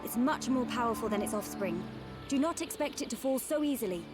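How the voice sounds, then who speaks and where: A woman's voice: a little high, expressive, fairly quick, close to an everyday voice. A woman playing a composed, serious and knowing young warrior in a video game. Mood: grave, cautioning. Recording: music behind the voice.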